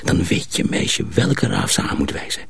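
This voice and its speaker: An actor playing a cautious, secretive young miller's apprentice in a radio drama, husky and often hushed, explaining things deliberately. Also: male voice, no room sound, natural voice. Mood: firm, instructing. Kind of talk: Dutch radio drama acting.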